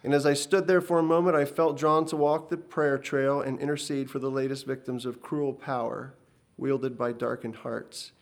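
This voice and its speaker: A man's voice: mid-range voice, steady tone, moderate pace, close to an everyday voice. A man voicing a shaken old prophet. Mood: earnest. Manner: hushed, earnest recounting. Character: old male prophet, shaken, earnest and pious.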